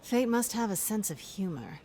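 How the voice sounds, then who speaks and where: A confident female pirate captain from a fantasy game. She has a mid-pitched voice and a wry, teasing way of speaking. She sounds fully sure of herself. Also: very animated, moderate pace, warm tone, a little hiss or hum. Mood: wry, testing. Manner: wry, teasing, self-assured. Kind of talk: cinematic game acting.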